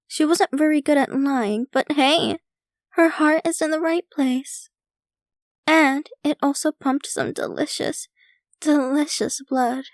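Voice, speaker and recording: A woman's voice: high voice, vocal fry, no echo, clean studio sound, exaggerated voice. A woman playing a possessive, rich yandere vampire girlfriend in an ASMR roleplay, speaking to the listener in a theatrical, doting, gushing manner. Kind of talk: ASMR roleplay, scripted intimate monologue to listener.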